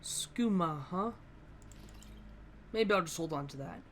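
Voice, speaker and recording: A woman giving casual let's play commentary on a game, low-key and musing aloud. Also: lively intonation, slow, slight background noise. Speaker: gamer narrating a playthrough. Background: faint game music.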